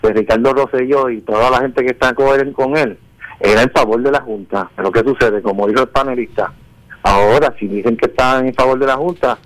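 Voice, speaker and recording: Medium-pitched male talk radio caller. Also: a little fast, poor audio. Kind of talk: spontaneous political opinion.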